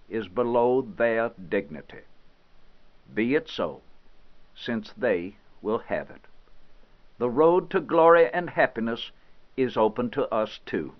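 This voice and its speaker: A male actor plays an eighteenth-century American statesman delivering a historical declaration, solemn and indignant. His mid-pitched voice rises and falls dramatically through measured, oratorical phrases with weighty pauses. The recording is a little dull and limited in bandwidth. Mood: wounded, resolute. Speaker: historical interpreter. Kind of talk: formal dramatic reading of an 18th-century political document. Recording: slightly dull, band-limited audio.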